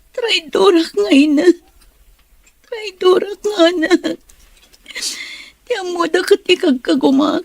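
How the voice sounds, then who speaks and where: A woman's voice: very high voice, gravelly, darkish tone, no room sound, full character voice. An actress playing a scheming but remorseful young woman in an emotional Ilocano radio drama. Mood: anguished, tearful.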